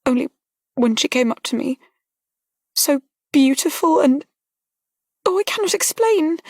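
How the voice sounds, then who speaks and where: A woman's voice: high voice, expressive, measured pace, no echo, studio quality, voice pushed up. Woman doing a tearful, breathless young lady. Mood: distressed, tearful. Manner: breathless, tremulous, pleading. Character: young fragile tearful noblewoman, anxious. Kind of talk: audiobook character voice.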